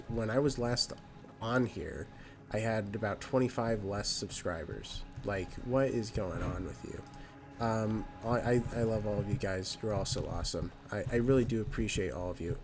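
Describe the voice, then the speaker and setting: A nasal, slightly low-voiced man rambles through a casual let's-play update, full of ums and restarts. Game music plays clearly behind him. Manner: rambling, with many fillers and restarts. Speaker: gaming channel host. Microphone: new microphone.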